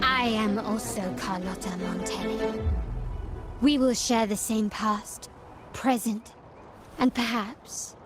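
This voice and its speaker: An actress playing a taunting doppelganger in a video game, with a theatrical, teasing, mocking manner. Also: female voice, a little high. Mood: sly, taunting. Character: taunting female doppelganger born of a noblewoman's memories.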